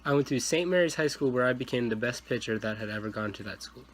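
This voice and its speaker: A man's voice: medium pitch, fast talker, close to an everyday voice. A man playing a famous 1920s baseball slugger, giving rehearsed interview answers. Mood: matter-of-fact. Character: famous 1920s male baseball home-run star. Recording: noisy room recording.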